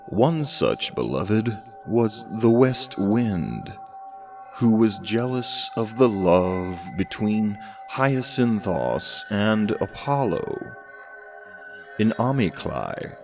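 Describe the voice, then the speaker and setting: A man narrates an old myth in a very low, gravelly voice. His delivery is deliberate and dryly tongue-in-cheek, rising and falling with dramatic pauses. He sits a little away from the mic. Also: distant mic. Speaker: podcast presenter and history professor. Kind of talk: scripted storytelling narration of mythology.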